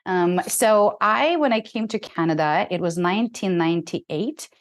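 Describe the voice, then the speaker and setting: A woman's voice: lowish voice, measured pace. A woman from Ukraine, a guest on a podcast, telling a story with feeling. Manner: animated, emotional, laughs while telling. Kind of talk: interview storytelling.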